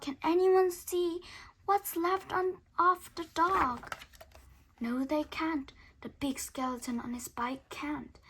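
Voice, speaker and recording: A woman reading a children's picture book aloud in a theatrical way, moving between hushed whispers and emphatic bursts. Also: sits high.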